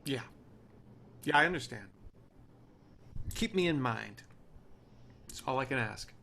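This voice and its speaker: A man playing a dejected, stubborn TV showrunner in a screenplay table read, speaking in a halting, conversational way. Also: mid-range voice, animated, vocal fry, pushed and bright, exaggerated voice. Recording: noisy online call audio.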